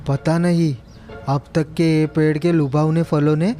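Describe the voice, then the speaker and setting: A man's voice: fairly high pitch, little pitch movement, measured pace, mellow, faint music behind, lightly acted. A man playing a naive, respectful cart driver in a moral story, speaking earnestly and deferentially. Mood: respectful, puzzled. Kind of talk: acted moral story dialogue.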